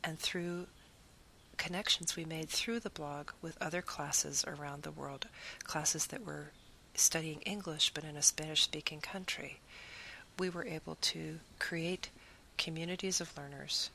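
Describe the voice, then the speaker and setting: A woman's voice: low voice, steady tone, measured pace, gravelly, bright, forceful tone, slight background noise. A woman who teaches Spanish, giving an earnest, reflective talk about her teaching and piling up long lists of phrases.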